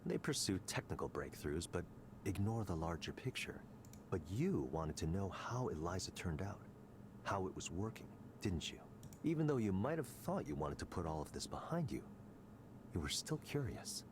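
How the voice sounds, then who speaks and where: Deep-voiced, smug corporate executive. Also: male voice, very animated, background noise. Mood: persuasive, calculating. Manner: smooth, condescending, deliberate. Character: smug, calculating male tech company executive. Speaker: game voice actor. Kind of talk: scripted visual novel dialogue.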